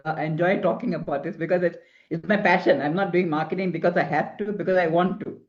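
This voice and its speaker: A woman's voice: on the low side, fairly even intonation, fast. A middle-aged woman from Singapore, a marketing consultant giving advice as an interview guest, rambling with many restarts. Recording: dull lo-fi sound.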